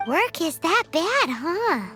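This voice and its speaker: A woman's voice: very high voice, sing-song, slow, bright, forceful tone, heavily acted. A woman voicing a tiny childlike floating companion in a video game, cheeky and blunt. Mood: chirpy, blunt. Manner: blunt, chirpy, refers to self in third person. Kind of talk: animated video game character acting. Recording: music playing behind the voice.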